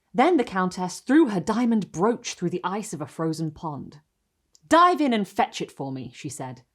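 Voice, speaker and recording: A woman hosting and reading literary stories aloud in an expressive, theatrical style with deliberate phrasing. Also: medium pitch. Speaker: host and reader of a literary video series. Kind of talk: dramatic storytelling, scripted narration.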